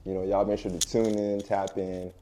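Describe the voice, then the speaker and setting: A man's voice: low voice, little pitch movement, moderate pace, mellow, slight background noise. A young man whose first language is French, a music artist giving casual, laid-back interview answers with lots of fillers and frequent laughs.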